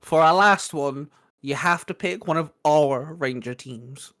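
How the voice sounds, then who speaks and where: A man's voice: a little high, unhurried, a bit bright, no room sound. Laughing man with a dry, close sound. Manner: laughing, swearing, hesitant restarts. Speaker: podcast host running the quiz. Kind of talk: casual chat among friends.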